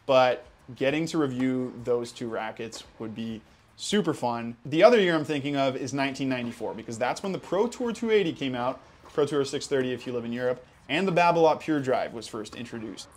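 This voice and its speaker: A man's voice: medium pitch, lively intonation, fairly quick. A young man with an American accent, hosting a casual Q&A video and talking in a chatty, enthusiastic way with casual fillers. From Hawaii. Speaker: tennis channel host. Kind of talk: casual Q&A vlog.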